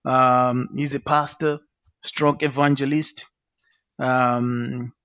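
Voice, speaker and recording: Male host, halting delivery, lots of fillers, mid pitch, dry close sound. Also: little pitch movement, fairly bright tone, no room sound. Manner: halting, many fillers and repeats. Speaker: talk show host. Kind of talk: online panel discussion.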